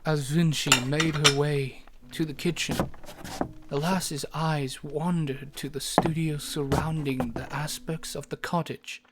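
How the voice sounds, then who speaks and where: A narrator reading scripted fantasy audio drama narration in a deliberate, descriptive storybook manner. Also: medium pitch, fairly even intonation, very noisy.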